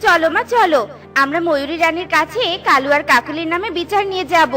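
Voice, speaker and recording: Good-hearted cartoon bird girl, adult female performer: bright, very high, caring, righteous and reassuring. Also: a little fast, soft background music. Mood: resolute, reassuring. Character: kind, righteous little female bird Tuni. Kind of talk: cartoon storybook acting.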